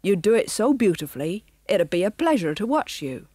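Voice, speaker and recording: A thin, cowardly henchman wheedles and wails in a reedy, raised voice, as a woman narrator puts him on. The pitch swings up sharply, and the sound is husky and dry. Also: big pitch swings, fairly quick, dark tone, no echo. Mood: whiny. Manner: wheedling, whiny, high.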